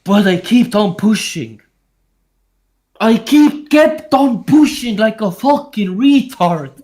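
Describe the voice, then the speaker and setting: A man's voice: high voice, lively intonation, slow pace, a little mellow. A man, a guest on a group video call, talking bluntly and swearing freely as he rambles through stories. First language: Estonian. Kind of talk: casual chat on a group call.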